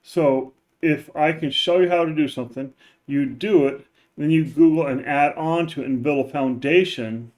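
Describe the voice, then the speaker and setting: A man's voice: moderate pace, darkish tone. A man teaching as a professor in a loose, informal recorded lecture, with many fillers and restarts, his voice run through a neural normalizing filter. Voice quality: says his voice is very deep. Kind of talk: casual recorded class lecture.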